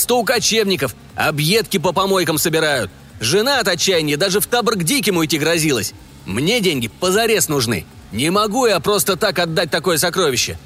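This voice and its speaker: A man doing a loud, crude, greedy stalker. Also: high-pitched, husky, pitched above the performer's own voice, exaggerated voice. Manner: loud, excited, coarse. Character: boisterous greedy male stalker, crude slang.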